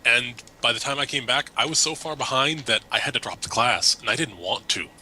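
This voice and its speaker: An American man co-hosting a casual podcast chat, teasing his co-host with quick, pedantic quips. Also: mid-range voice, animated, bright, forceful tone, slight background noise, low-quality recording. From United States.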